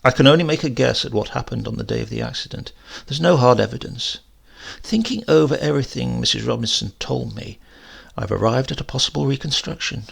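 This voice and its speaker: Gravelly male horror story narrator. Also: medium pitch. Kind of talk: dramatic first-person horror story narration.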